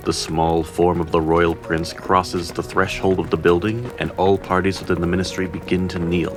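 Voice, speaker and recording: A man's voice: deep voice, flat delivery, moderate pace. A man narrating a scripted fantasy audio drama in a formal, literary storytelling manner. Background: faint music.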